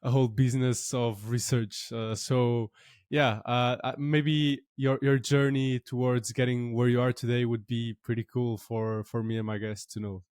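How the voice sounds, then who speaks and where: A man's voice: mid-range voice, flat delivery, moderate pace, a little mellow, dry recording, clean studio sound. A man hosting a podcast, asking long, hesitant questions full of fillers. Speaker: podcast host. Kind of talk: interview questions.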